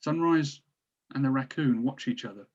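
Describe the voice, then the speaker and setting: Mid-pitched male narrator, slightly dull recording. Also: moderate pace, a little mellow. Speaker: narrator reading stage directions. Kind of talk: scripted narration. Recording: dull, lo-fi audio.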